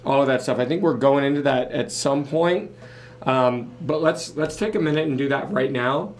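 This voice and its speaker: A man hosting a podcast in a casual, conversational way, his voice hoarse as if he is losing it. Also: medium pitch, moderate pace. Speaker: podcast host. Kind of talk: casual talk, sponsor thanks.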